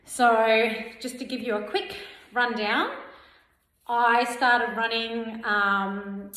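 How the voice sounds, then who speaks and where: A woman in her forties talks slowly and casually to her camera, sharing running tips with plenty of ums along the way. Her voice sits a little high. The recording is roomy, with the camera mic picking up a clear echo. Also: bright, forceful tone. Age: 40s. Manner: unhurried, chatty, frequent ums. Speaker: running vlogger. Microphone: camera mic without a clip-on mic.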